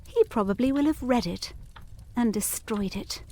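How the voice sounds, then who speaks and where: A woman's voice: fairly high pitch, lively intonation, moderate pace, dark tone. A woman playing an earnest, anxiously determined young governess in an audio drama, speaking formally and urgently. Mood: fervent resolve. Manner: formal, literary phrasing, urgent. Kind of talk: audio drama acting of Victorian gothic ghost story.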